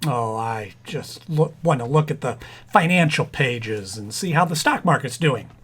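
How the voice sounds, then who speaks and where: A man's voice: mid-range voice, lively intonation, measured pace, fairly bright tone, breathy edge. A man playing a distracted, mild-mannered husband in a 1940s-style radio comedy, hesitant and stammering. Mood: absorbed, distracted. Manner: hesitant, stammering, absent-minded.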